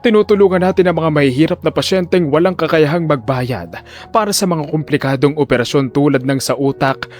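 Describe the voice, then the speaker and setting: A man's voice: on the high side, fairly quick, a little mellow, soft background music, close to an everyday voice. A man playing a smug older hospital director in an audio drama, avuncular and self-important, putting on benevolence. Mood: pompous, persuasive. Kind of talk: audio drama villain dialogue.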